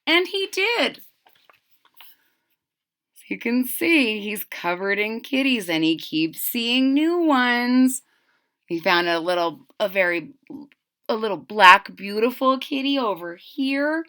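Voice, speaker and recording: A woman's voice: fairly high pitch, very animated, slow pace, fairly bright tone. A woman reading a picture book aloud expressively, stretching words for emphasis and adding chatty asides for young listeners. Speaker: read-aloud storyteller.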